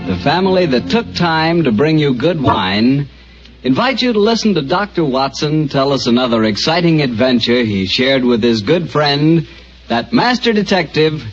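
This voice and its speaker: A man announcing a 1940s radio show and reading its sponsor's ad in a smooth, lively salesman delivery. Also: medium pitch. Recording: dull 1940s radio recording.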